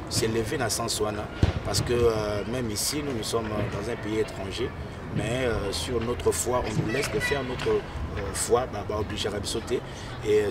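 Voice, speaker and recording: A man with a Congolese French accent, a pastor speaking earnestly in an interview and repeating phrases for emphasis. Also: fairly low pitch. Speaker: pastor, president of a pastors' association. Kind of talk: interview answers, preaching tone. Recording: distant mic with loud traffic noise outdoors.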